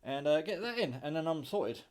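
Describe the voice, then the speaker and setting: A man's voice: on the high side, expressive, moderate pace. A British man talking casually and off the cuff in his kitchen, rambling informally as he shows a hobby project. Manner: rambling, informal, mildly sweary. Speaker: hobbyist vlogger presenting own radio build. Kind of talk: casual unscripted demonstration talk. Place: home kitchen.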